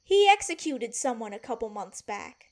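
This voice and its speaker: A woman's voice: on the high side, very animated, moderate pace. A woman acting a feisty, protective palace servant in an audio drama, blunt and emphatic. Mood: shocked, exasperated.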